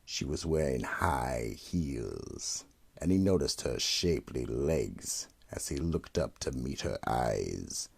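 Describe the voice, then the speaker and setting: A man playing a sinister horror-anthology narrator, the Keeper of the Vault, with a deep gravelly rasp and slow, ominous delivery. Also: lively intonation, full character voice. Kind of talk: 1940s-style radio horror narration.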